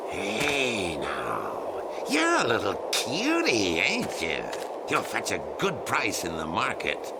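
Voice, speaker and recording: A man's voice: fairly low pitch, wildly swooping pitch, takes it slowly, very noisy, exaggerated voice. A man playing a menacing, leering bandit thug in a video game dub, sneering as he talks.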